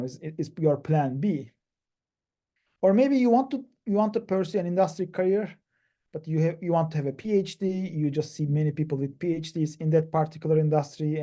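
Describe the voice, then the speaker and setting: A man from Turkey giving a webinar presentation on a video call, talking haltingly with many fillers and restarts. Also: high voice, mellow. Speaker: PhD panelist.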